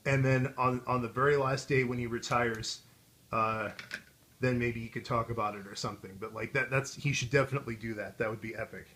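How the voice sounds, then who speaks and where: An American man chats casually as he hosts a video. His middle-pitched voice rambles along in a wry, riffing way, loose and conversational. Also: steady tone, brisk, a bit bright. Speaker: video host. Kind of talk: casual chat.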